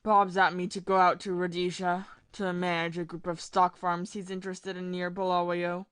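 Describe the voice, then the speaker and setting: A woman's voice: fairly low pitch, flat delivery, moderate pace, a bit bright, clear, resonant tone, no echo, studio quality, close to an everyday voice. A woman acting a lovesick, forlorn man in an audio play, pleading wistfully with his sweetheart.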